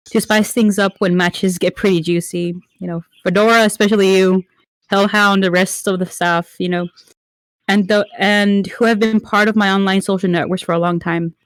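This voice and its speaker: A woman from Mexico, a Spanish speaker, giving a prepared speech as a league referee while under the weather, halting and apologetic with many fillers and restarts. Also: medium pitch, no echo. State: under the weather, slightly murky voice. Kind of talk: prepared speech to an online community.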